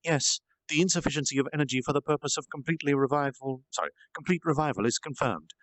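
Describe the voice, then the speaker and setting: A man's voice: mid-range voice, quick pace, a bit bright, no echo, low-quality recording. A man narrating a fantasy story aloud as an informal storytime reader. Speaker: storytime streamer reading aloud. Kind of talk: animated amateur story narration. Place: at home in a reading chair.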